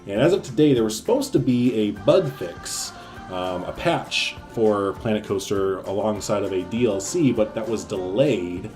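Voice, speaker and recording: A man's voice: lowish voice, expressive, moderate pace, a little mellow, faint music behind. A man co-hosting a casual gaming chat, upbeat and talkative, leaning on "like" and "um". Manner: upbeat, laughs often, lots of "like" and "um". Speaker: co-host of a gaming series.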